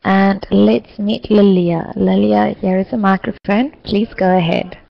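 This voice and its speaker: Female online English tutor, heavy vocal fry, slow clear encouraging delivery. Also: mid-range voice, steady tone, lo-fi sound. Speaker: English teacher hosting an online class. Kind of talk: scripted online lesson facilitation.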